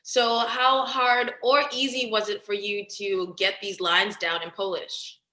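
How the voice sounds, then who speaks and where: A woman's voice: medium pitch, flat delivery, measured pace, bright, forceful tone. A woman doing a casual, chatty entertainment interview, using informal, slangy phrasing. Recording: roomy, echoing sound.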